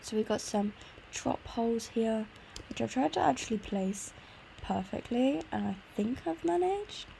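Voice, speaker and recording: Creaky-voiced woman with a sore throat. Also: a little high, lively intonation, unhurried, mellow, a little hiss or hum. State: congested sore throat, tired. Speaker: gaming video host. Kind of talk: casual gameplay tour commentary.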